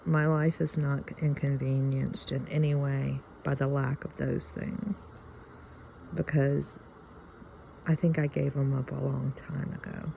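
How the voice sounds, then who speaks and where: A woman in her fifties with a Southern US accent, chatting reflectively and haltingly to her viewers. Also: a bass voice, flat delivery, vocal fry, mellow, slight background noise, rough recording. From Louisiana, United States. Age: fifty-one. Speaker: video channel host. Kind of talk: casual reflective chat to viewers.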